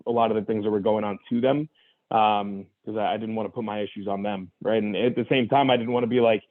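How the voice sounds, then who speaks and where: Young adult man, guest. Earnest, quick, restart-heavy storyteller on a dry, tinny phone-like line. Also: fairly low pitch, steady tone, no room sound. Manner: earnest, reflective, full of restarts and "you know". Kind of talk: candid personal story in conversation.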